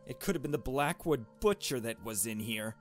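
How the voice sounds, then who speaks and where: A man's voice: medium pitch, expressive, moderate pace, faint music behind, lightly acted. A man voicing a nervous, shaken young woman who narrates a visual novel mystery in a hesitant, uneasy way. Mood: anxious. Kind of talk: visual novel story read aloud.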